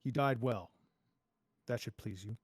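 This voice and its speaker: A man's voice: mid-range voice, measured pace, dry recording, studio quality, lightly acted. A man playing a cool, cynical masked pirate with mocking, polite menace in a fairy-tale table read. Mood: cynical, taunting. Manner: sardonic, drawling taunts with polite menace.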